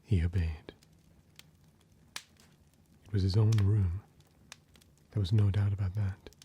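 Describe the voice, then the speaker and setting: A man narrating a classic story in ASMR style, mostly in a soft, measured whisper, with noise in the background. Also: low voice, fairly even intonation.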